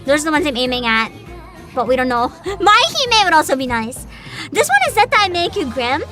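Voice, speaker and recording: A woman hosting a casual livestream chat, giggly, excitable and expressive, with music behind her. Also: sits high, normal speed. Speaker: livestream host, VTuber.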